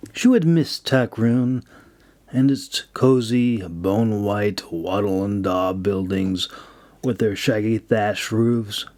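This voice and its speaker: A man narrates a fantasy audiobook from a script. His voice sits fairly low and moves very slowly, with measured, deliberate storytelling. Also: a little mellow.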